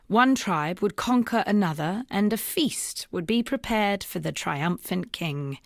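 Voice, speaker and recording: Grave young rebel woman for a fantasy game, lowish voice, measured and solemn, really dry close sound. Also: no room sound. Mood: solemn, ominous. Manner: measured, solemn, storytelling delivery. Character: grave, resolute young woman rebel, former courier and slave. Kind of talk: dramatic scripted video game dialogue.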